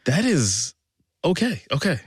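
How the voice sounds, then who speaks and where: A man co-hosting a conversational news podcast, reacting with animated, joking disbelief. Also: a little high, a little slow, breathy edge, no echo. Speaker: podcast co-host. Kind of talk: casual conversational chat.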